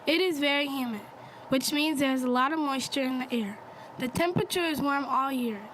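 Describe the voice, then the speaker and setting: A woman's voice: sits high, a bit bright, low-quality recording. A woman reading scripted educational narration for young listeners. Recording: faint background noise.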